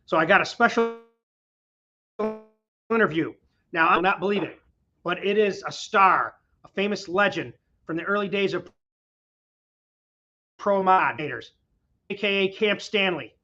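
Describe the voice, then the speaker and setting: A man's voice: sits high, expressive, unhurried, long pauses, sharp tone, highs cut off. A man hosting a casual interview from his garage, chatty and rambling, his voice recovering from being sick. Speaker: host. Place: his garage, windy outdoors.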